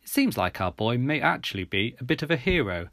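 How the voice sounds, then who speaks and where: A man's voice: medium pitch, very animated, a little fast, fairly bright tone, dry recording, clean studio sound. A man voicing a stern, exasperated father, speaking tightly, in an audiobook story. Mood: grudging pride.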